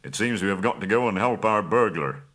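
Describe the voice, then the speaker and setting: A man's voice: on the low side, brisk, a bit bright, lightly acted. A man reading aloud and voicing a proud, commanding male dwarf king in a stern, declaiming manner. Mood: gruff, impatient.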